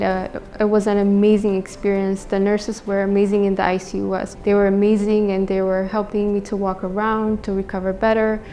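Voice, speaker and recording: A woman's voice: mid-range voice, dark tone. A young woman from Ethiopia with an Ethiopian accent, giving a personal patient testimonial. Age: young adult.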